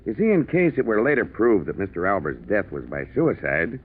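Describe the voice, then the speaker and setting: A low-pitched, lively-toned insurance official, cautious, formal and placating. It is 1940s radio drama on a thin, band-limited recording. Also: male voice, brisk, narrow, tinny audio, slight background noise. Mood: politely evasive. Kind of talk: 1940s radio mystery acting.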